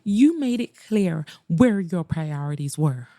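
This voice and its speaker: A hurt, bitter woman in a romance audiobook, played by a woman narrator. She is accusing and emotional, with sharp words in a soft dark tone, recorded close and dry. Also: fairly low pitch, lively intonation, slow, dry recording. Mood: wounded, demanding. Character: hurt, resentful woman confronting her former lover.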